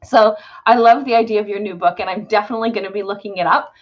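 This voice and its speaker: A woman's voice: medium pitch, fairly even intonation, fast, dull audio. Bubbly woman hosting a live stream. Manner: bubbly, many ums and uhs. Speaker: host.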